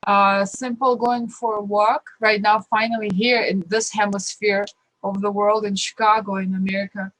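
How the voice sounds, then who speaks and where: A woman from Ukraine with a Ukrainian accent, a guest talking earnestly and warmly about her life, rambling and restarting her sentences. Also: medium pitch, flat delivery, normal speed, no room sound, poor audio. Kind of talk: casual interview talk, personal advice.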